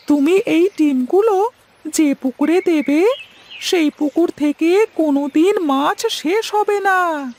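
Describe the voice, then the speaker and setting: A woman's voice: extremely high pitch, darkish tone. A woman voicing an earnest, childlike young fish prince in a fairy-tale cartoon. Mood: grateful.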